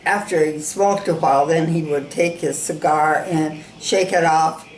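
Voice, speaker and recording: Woman from South Dakota, slow, rambling recollection, echoey room, faint hiss. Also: sits low, steady tone. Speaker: interviewee, interviewer's sister. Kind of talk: reminiscing in an interview. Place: room in a ranch home.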